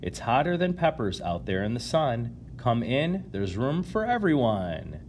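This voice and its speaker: A man's voice: medium pitch, sing-song, measured pace, a little mellow, slight background noise. A man voicing a friendly storybook bus driver who calls out invitingly to travelers. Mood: welcoming. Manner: hearty, calling out invitingly.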